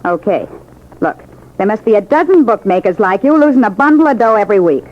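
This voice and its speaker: An actress playing a shrewd, sassy gangster's moll with dry delivery in a 1940s radio crime drama. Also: female voice, mid-range voice, sing-song, a little fast, lightly acted. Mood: needling. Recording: muffled, hissy old radio recording.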